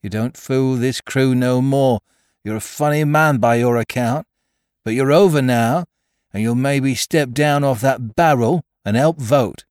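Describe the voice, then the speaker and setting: A man's voice: mid-range voice, unhurried, a little mellow, clean tone, no room sound, studio quality, pitched above the performer's own voice, lightly acted. A man voicing a belligerent, mutinous pirate crewman in a storybook reading, blunt and accusing in rustic sailor speech. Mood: sneering. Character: belligerent mutinous male pirate crewman challenging his captain. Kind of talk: dramatised audiobook reading of a classic adventure story.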